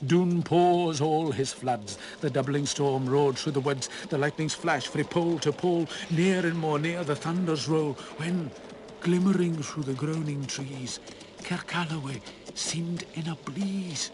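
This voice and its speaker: A man giving a dramatic, theatrical recitation of a narrative poem, swinging between hushed and roaring delivery. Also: on the high side, a little slow, background noise. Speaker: poetry reciter.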